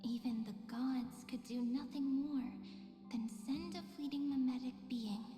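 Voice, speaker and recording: High, soft, wistful young game heroine. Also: female voice. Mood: reflective. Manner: slow, tender, measured storytelling. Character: gentle wistful young female guide looking back on sacrifice. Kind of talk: scripted game story voice acting. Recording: echoey long reverb, loud music behind.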